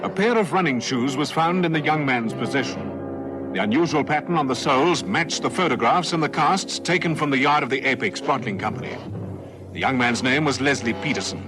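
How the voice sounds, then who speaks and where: A man giving measured, formal narration for a radio crime drama. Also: mid-range voice, over music, natural voice. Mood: measured, sober. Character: sober male crime-story narrator.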